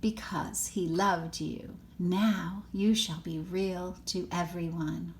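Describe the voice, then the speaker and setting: A woman's voice: on the low side, fairly even intonation, slow, a little hiss or hum. A woman voicing a kindly, reassuring magic fairy who looks after beloved toys in a storybook. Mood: gentle, reassuring.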